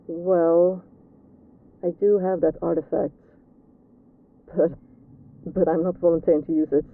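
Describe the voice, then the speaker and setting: Man playing hesitant male investigator, higher-pitched, creaky, casual, audio roleplay, phone-like radio sound. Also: little pitch movement, unhurried. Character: Scopes, hesitant, self-protective male ghost-hunting teammate.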